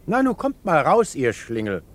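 An actor playing a jovial grown man who addresses naughty children in a theatrically genial, drawn-out way, in a children's radio play. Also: on the high side, wildly swooping pitch.

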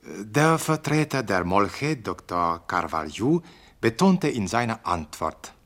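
An actor playing a formal, emphatic radio newsreader reporting on a conference in a radio play. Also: male voice, lowish voice, very animated, takes it slowly, fairly bright tone, full character voice. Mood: dramatic reporting. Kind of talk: scripted news bulletin within radio play.

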